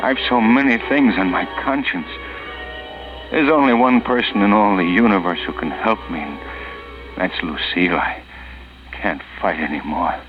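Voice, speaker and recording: Actor as a deep-voiced, guilt-ridden fugitive, old radio. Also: male voice, normal speed, lightly acted. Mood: tormented, weary. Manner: anguished, hesitant, melodramatic. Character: guilt-ridden, sleepless man fleeing a murder. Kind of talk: 1940s radio drama acting. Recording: muffled old radio broadcast, loud music.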